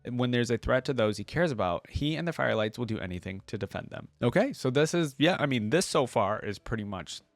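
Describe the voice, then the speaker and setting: A man's voice: mid-range voice, expressive, brisk, hardly any pauses, no echo. Casual man reacting on video, dry close sound. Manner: conversational asides between read-out passages. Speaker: YouTube host reacting to game lore. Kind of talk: casual reaction commentary mixed with reading aloud.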